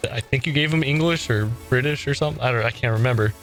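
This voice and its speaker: A man's voice: mid-range voice, quick pace, a bit bright, soft background music. A man co-hosting a let's play, cracking jokes about the story and laughing along. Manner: wisecracking, laughs often. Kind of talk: casual chat over a game.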